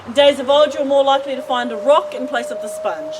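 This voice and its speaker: A New woman demonstrates a traditional craft and explains it to visitors. She often checks in with "okay?" Her high voice comes from a distance, and loud noise runs underneath. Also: a little fast. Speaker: cultural performer and guide.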